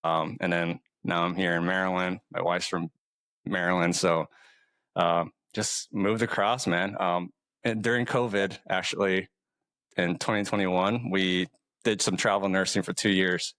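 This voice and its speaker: Easygoing male podcast host, low voice, dry close mic, relaxed filler-heavy delivery. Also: a little slow, no room sound. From Hawaii. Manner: laid-back, says "man" and "like" often. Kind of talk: casual interview chat.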